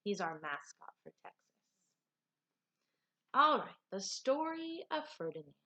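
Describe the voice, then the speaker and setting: A woman teacher speaking to young pupils and reading aloud to them in an animated, expressive way. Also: high-pitched, unhurried. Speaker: elementary teacher. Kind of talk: read-aloud lesson for children.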